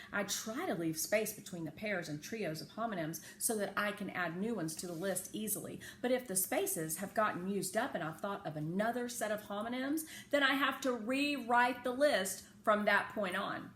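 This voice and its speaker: Bright-voiced woman reading aloud, echoey room. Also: medium pitch, moderate pace, hardly any pauses. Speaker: teacher reading a children's novel aloud. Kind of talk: expressive read-aloud narration for children. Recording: echoey room with faint background noise.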